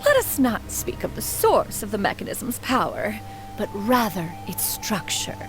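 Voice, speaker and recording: An actress playing a proud, aloof elder inventor in a video game, speaking in a formal, lofty, archaic manner. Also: female voice, mid-range voice, wildly swooping pitch, unhurried, music in the background, rough recording. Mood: lecturing, haughty. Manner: formal, haughty, archaic speech calling herself "one".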